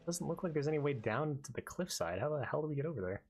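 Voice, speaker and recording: A man's voice: on the high side, lively intonation, quick pace, a little mellow, slightly rough, faint music behind. A man casually commentating his gameplay, cursing and rambling with self-mocking jokes. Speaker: let's player.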